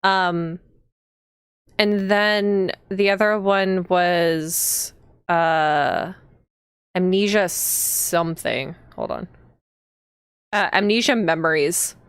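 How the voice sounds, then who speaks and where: A woman's voice: on the low side, drawn-out words, long pauses, clear, resonant tone, no room sound, clean studio sound. A woman chatting casually to viewers as she hosts a live game stream. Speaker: streamer and host.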